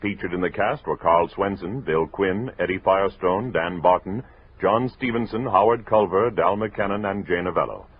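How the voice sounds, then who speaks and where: A man's voice: low-pitched, normal speed, a little hiss or hum. A man reading closing credits as a formal 1960s radio announcer. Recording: muffled old radio recording.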